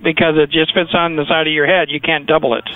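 A man's voice: a little high, flat delivery, quick pace, no room sound. A man on a phone call, answering in a terse, patient, matter-of-fact way. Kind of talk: phone conversation. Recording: muffled, dry phone line.

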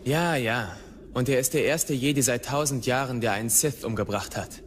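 A man plays a headstrong, frustrated young warrior in a radio drama. His restless voice is of middling pitch, with faint ambient noise behind it. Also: normal speed, close to an everyday voice. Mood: resentful, earnest. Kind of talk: radio play acting.

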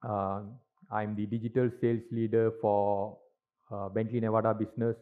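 A man's voice: lowish voice, flat delivery, moderate pace. A middle-aged man giving a conference talk on stage into a handheld mic, with lots of "uh" and "right?" tags. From Malaysia. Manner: filler-heavy, frequent tag questions. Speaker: industry speaker at a conference. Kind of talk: presentation talk. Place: large conference hall stage. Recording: tinny, lo-fi hall recording.

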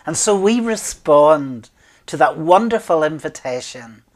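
A man in his fifties, a minister speaking communion liturgy and prayers in a measured, solemn way with deliberate pauses. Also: fairly high pitch, expressive. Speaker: minister leading worship. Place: small room indoors, at a desk.

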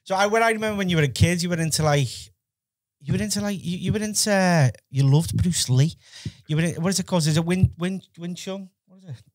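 High-voiced Scouse podcast host. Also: male voice, fast talker. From Liverpool, England. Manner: friendly, prompting with questions. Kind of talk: casual chat. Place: small studio with brick wall. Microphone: headset and desk mic.

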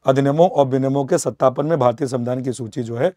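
A man's voice: mid-range voice, fast talker, mellow, no echo. A man in his thirties teaching exam students in a conversational lecture style, repeating points and checking in often, close-miked in an indoor studio. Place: indoor teaching studio beside a digital board.

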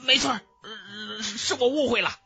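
A man voicing a high-pitched, brash young warrior. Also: moderate pace, gravelly, bright, forceful tone, husky, faint music behind, full character voice. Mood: apologetic. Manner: exclamatory, blurting, incredulous. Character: brash excitable young male cultivator, suspicious then chummy. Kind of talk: fantasy audiobook character acting.

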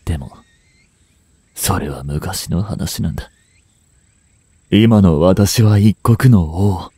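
A man performs a young prince unmasked as a spy, proud and smooth-tongued. The voice is low and unhurried, recorded close and dry. The delivery is formal and theatrical, pleading as in an audio drama. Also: mellow, no echo, heavily acted. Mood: earnest pleading. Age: 20s. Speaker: amateur voice actor and voice drama creator. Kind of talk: dramatic Japanese voice drama acting.

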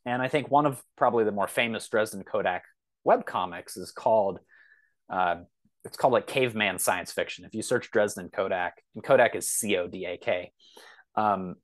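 Male podcast guest, lowish voice, casual discussion, halting but enthusiastic, thinking aloud.

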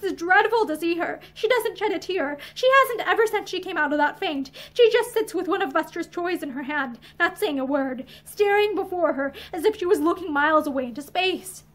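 A woman's voice: very high voice, lively intonation, moderate pace, few pauses, fairly bright tone. A woman voicing an earnest, tearful young woman in a story, outspoken, with an emotional, pleading delivery. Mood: tearful distress.

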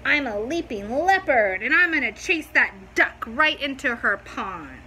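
A boastful little storybook kitten, put on by a woman reader, pitched high and very lively. It talks theatrically, with big exaggerated swoops, over faint room noise. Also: a little slow, exaggerated voice. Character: little fierce kittens, boastful but easily startled. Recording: faintly noisy home recording.

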